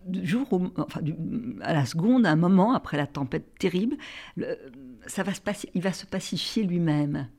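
A woman with a fairly low voice interviewing an author about her book. She speaks with admiration, stumbling and repeating words as she searches for the right phrase, then praises lines from the text. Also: lively intonation, normal speed, a little mellow, husky. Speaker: literary interviewer. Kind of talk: interview, reading excerpts.

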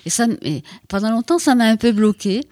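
A woman's voice: on the high side, expressive, darkish tone, no echo, clean studio sound. An older French woman author giving a radio interview, speaking reflectively with hesitations and frequent verbal tics. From France. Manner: reflective, halting, frequent "voilà" and "hein".

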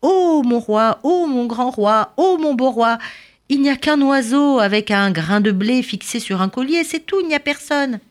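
A woman voicing a timid servant nervously flattering his king. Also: sits high, a little slow, pitched above the performer's own voice. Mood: fawning. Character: timid fawning male servant.

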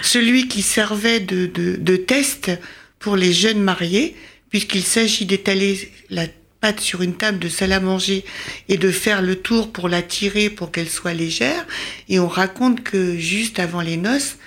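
A woman's voice: medium pitch, measured pace, fairly bright tone. A woman speaking as an interview guest, presenting an event programme in a conversational radio style.

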